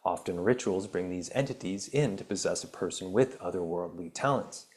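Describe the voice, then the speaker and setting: A man hosts his own healing podcast, his voice sitting fairly low. His voice has gone raspy from reading aloud. He stumbles over words as he reads, then drifts into loose, rambling chat padded with ums and uhs. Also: moderate pace, darkish tone. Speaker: podcast host. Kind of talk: reading an article aloud with casual asides.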